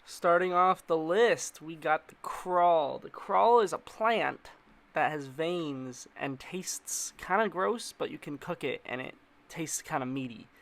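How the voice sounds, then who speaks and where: Higher-pitched man giving casual slangy commentary. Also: gravelly, a bit bright. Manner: informal, slangy, playful asides. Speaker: video narrator.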